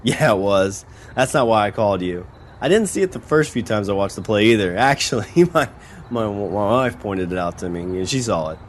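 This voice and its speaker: A man's voice: lowish voice, expressive, normal speed, slight background noise, lightly acted. An actor playing a nervous small-town father who stammers and hesitates in an audio drama. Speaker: witness.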